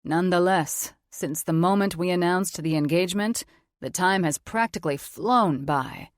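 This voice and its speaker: A woman voicing a mature, self-assured man who plays along as a fake fiancé, smooth and teasing. It is read as a storybook figure. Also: fairly low pitch, normal speed, no room sound, lightly acted. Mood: smug, assured.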